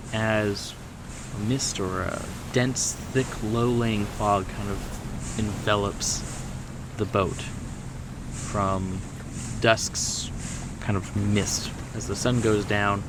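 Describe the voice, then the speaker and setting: A man narrating a tabletop horror game as game master, in atmospheric, deliberate storytelling. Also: fairly low pitch, steady tone, noisy background. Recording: music behind the voice.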